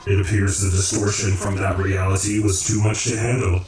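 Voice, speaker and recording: A man in a radio drama playing a calm, commanding creator of realities who speaks in measured, formal, cryptic phrases. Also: low-pitched, slight background noise, lightly acted. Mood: calm, authoritative. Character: calm, commanding cosmic creator, male, cryptic philosophical overseer. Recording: roomy echo, distant, music underneath.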